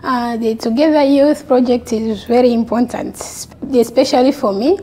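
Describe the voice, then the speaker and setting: Young woman from Congo, mellow and sincere, lapel mic. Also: a little high, moderate pace.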